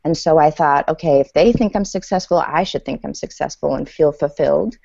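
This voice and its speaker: A woman's voice: deep voice, brisk, creaky, warm tone, no echo. A woman in her thirties from Iran, a native Farsi speaker, telling her personal story as an interview guest. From Iran, then the United States. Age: 30s. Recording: dull lo-fi audio.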